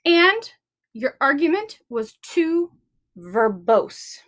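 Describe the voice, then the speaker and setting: A woman voicing a firm, formal storybook mother who hands down measured, officious rulings like a judge. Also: sits high, very animated, a bit bright, exaggerated voice.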